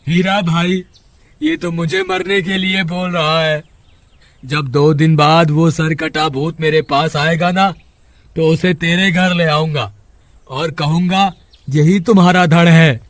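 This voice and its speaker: Male actor as frightened cartoon village man, high pitch, slow pace, pleading, respectful, exclamatory. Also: fairly even intonation, dry recording. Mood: worried, pleading. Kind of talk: Hindi horror cartoon character voice acting.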